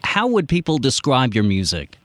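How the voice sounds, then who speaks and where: A man's voice: medium pitch, huge pitch swings, normal speed, no room sound. A man hosting a radio show and interviewing a guest, easygoing, with fillers and restarts. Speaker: radio host. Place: radio studio.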